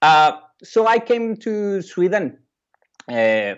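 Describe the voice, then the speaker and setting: A man from Venezuela, a native Spanish speaker with a Venezuelan accent, giving a keynote speech with personal stories in a halting way, repeating phrases and pausing. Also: high voice, big pitch swings, smooth, dull audio. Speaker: keynote speaker, music educator and orchestra leader.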